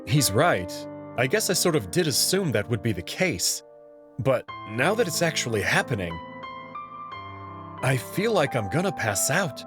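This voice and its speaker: A man reading a visual novel aloud in the first person, in an expressive, nervous way. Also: medium pitch, a little slow. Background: background music.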